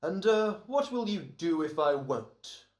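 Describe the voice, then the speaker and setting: A man's voice: high-pitched, sing-song, unhurried, darkish tone. A man playing a wry, wisecracking radio actor in an old-time comedy-horror drama, sardonic and theatrical. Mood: mocking defiance. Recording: roomy echoing home recording.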